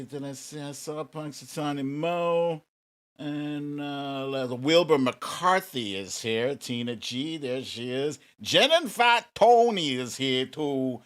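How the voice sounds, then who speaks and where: A middle-aged American man hosting a casual livestream, chatting informally and playfully with his viewers. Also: on the high side. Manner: informal, playful, drawn-out shout-outs to viewers. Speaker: livestream show host.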